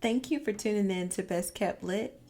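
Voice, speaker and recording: A woman narrating a children's storybook expressively, with deliberate, dramatic phrasing for young listeners. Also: mid-range voice, warm tone, soft background music. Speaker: children's read-aloud narrator and former teacher.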